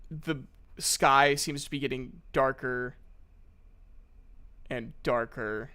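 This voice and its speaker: A man improvising narration as game master of a tabletop game, halting and pausing to think. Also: medium pitch, fairly even intonation, bright, forceful tone, smooth.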